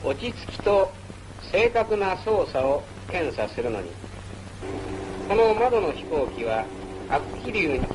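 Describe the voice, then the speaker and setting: High-pitched male vintage film narrator. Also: very animated, slow pace, long pauses, background noise. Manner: formal, instructional. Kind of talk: scripted narration, 1940s military training film. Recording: old wartime film soundtrack. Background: music.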